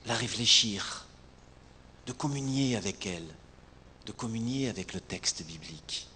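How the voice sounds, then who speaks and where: A male pastor delivers a sermon in a big, reverberant space with some noise behind him. His mid-pitched voice is emphatic and rhetorical, leaning on repeated phrases and deliberate pauses. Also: a bit bright. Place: large reverberant hall, speaking to a congregation. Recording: echoey hall, long reverb, some background noise.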